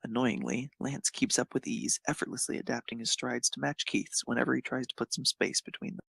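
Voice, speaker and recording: Husky, creaky male narrator. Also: medium pitch, brisk, fairly bright tone, no room sound, studio quality. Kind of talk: scripted fan fiction narration.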